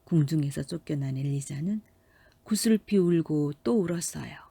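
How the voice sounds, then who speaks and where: A woman with a soft, mellow, slightly low voice narrates a children's fairy tale. She reads carefully and expressively in a dry, close recording. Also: moderate pace, gravelly, husky, no room sound. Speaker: storyteller.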